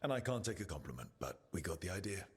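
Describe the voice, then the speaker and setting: A man voicing a self-deprecating hero in a fantasy video game, husky and wry. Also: low-pitched, big pitch swings, fast, heavily acted. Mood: wry, sheepish. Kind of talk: scripted video game dialogue.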